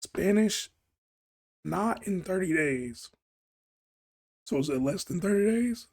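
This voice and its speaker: A man riffs loosely through a reaction video in heavy slang. He rambles, repeats himself and tacks "bro" onto his lines. His voice sits a touch on the high side. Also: animated, slow, a little mellow. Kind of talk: casual reaction commentary.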